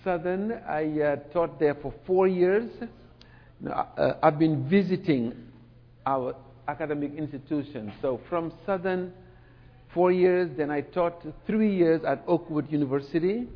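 A man's voice: on the high side, a little slow. Middle-aged man speaking in a reverberant hall. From Senegal, raised in France. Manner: relaxed, conversational with fillers and asides. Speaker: speaker, church representative. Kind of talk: sermon-style lecture. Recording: dull sound with long hall reverb.

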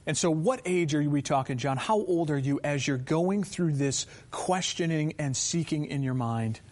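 Higher-voiced man hosting an interview. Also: moderate pace, darkish tone. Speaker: host. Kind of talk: interview conversation.

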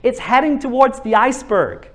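A man's voice: extremely high pitch, expressive, moderate pace. A man from Norway, preaching a sermon earnestly, repeating words as he builds a point and asking the audience questions.

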